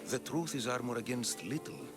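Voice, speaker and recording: An actor playing a gruff, guilt-haunted middle-aged collector who speaks in terse, bitter phrases. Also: male voice, medium pitch, expressive, normal speed, over loud music, lightly acted. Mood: brooding. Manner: terse, bitter, clipped sentences. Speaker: game character. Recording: orchestral game score underneath.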